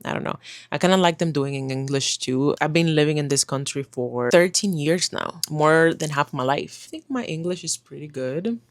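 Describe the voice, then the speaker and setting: A woman's voice: low-pitched, no echo. Chatty young woman vlogger, dry sound. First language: Spanish. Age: 20s. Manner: chatty, many pauses and "like" fillers. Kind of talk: casual talking-head vlog.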